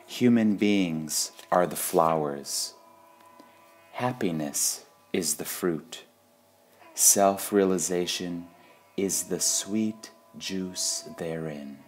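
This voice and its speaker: A man reads short spiritual sayings in a measured, deliberate devotional style, pausing between phrases. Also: deep voice. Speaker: reader of spiritual quotations. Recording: music under the voice. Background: background music.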